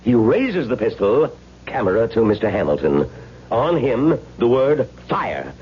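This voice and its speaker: An actor playing a pompous, self-important film director who speaks dramatically and repeats himself, in a 1970s radio drama. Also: male voice, medium pitch, very animated, a little slow, dull audio. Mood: dramatic, agitated. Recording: hissy, band-limited vintage radio recording.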